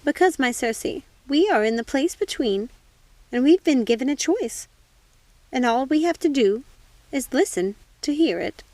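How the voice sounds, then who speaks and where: A woman voicing a gentle, soothing witch mother in a storybook reading. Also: high voice, lively intonation, a little slow, dry recording, voice pushed up. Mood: earnest.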